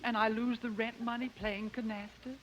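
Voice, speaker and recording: Actress as contrite housewife, high earnest voice, melodramatic radio soap confession, noisy old recording. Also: female voice, level tone, normal speed, lightly acted. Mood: contrite, pleading. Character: contrite, pleading housewife confessing her failings. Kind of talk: 1950s radio soap opera parody.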